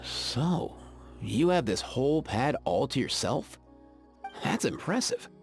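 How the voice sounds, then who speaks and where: An actor voicing an easygoing, friendly young veterinarian in a video game, with faint music behind. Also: male voice, medium pitch, big pitch swings, takes it slowly. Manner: easygoing and friendly, with frequent laughs. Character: laid-back young man, a veterinarian who keeps saurians. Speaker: game character. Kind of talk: game voice acting.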